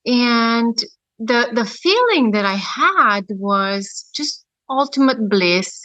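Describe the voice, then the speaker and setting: Woman, 30s, podcast guest; slow, reflective storytelling with frequent pauses, bright tone. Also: on the high side, lively intonation, no echo. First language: Romanian. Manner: reflective, with many pauses and fillers. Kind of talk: personal storytelling.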